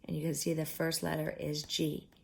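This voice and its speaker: A woman's voice: sits low, monotone, moderate pace, creaky. A woman storyteller reading a picture book aloud to young children and explaining it simply. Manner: explains pictures simply, sometimes dropping to a hush.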